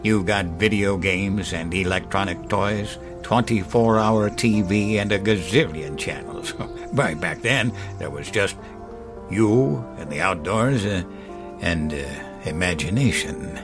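Elderly grandfather, horror audio drama. Deepish, very slow, wistful musing with sighs, chuckles and quiet menace. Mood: wistful, nostalgic. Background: music.

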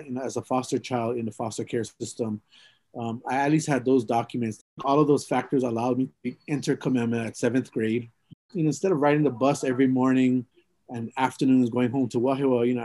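A man's voice: mid-range voice, dark tone, no room sound. A middle-aged man from Hawaii, telling his life story in an oral history interview, rambling and reflective with many fillers and restarts.

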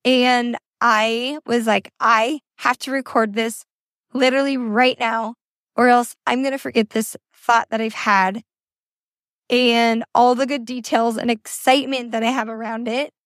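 A young woman with a hoarse, raspy voice left over from a cold speaks slowly and earnestly, coming back to the same encouragement again and again. Her voice sits a little high, and the recording is dry and close with no room sound. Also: steady tone, fairly bright tone, no echo. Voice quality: raspy, scratchy. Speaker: podcast host. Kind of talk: faith-based motivational podcast talk. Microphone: informal recording setup.

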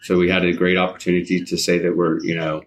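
A man's voice: low voice, monotone, fast talker. A man, reminiscing conversationally as an interview guest, rambling and often rephrasing himself. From United States, partly Alabama and California. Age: 50s. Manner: rambling, circles back and rephrases.

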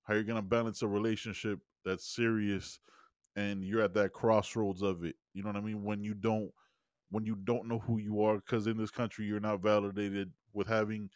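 A man's voice: lowish voice, level tone, normal speed, no echo, clean studio sound. A man co-hosting a casual show review, telling stories animatedly and often asking "you know what I mean". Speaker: podcast co-host.

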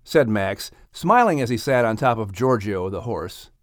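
A man narrating a children's audiobook in an expressive, scripted storytelling style. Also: fairly low pitch, moderate pace, no room sound, clean studio sound.

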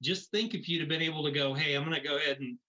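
A man's voice: fairly high pitch, rapid-fire, sharp tone. An American man hosting a casual podcast interview, rambling informally and encouragingly, on a slightly dull recording. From United States. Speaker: podcast host.

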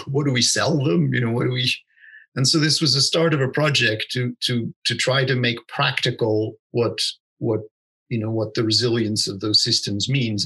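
Husky-voiced man explaining ideas, thoughtful. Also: medium pitch, fairly bright tone, rough recording. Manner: thoughtful, restarts often, says "you know" and "right?". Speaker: interview guest. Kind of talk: conversational explanation.

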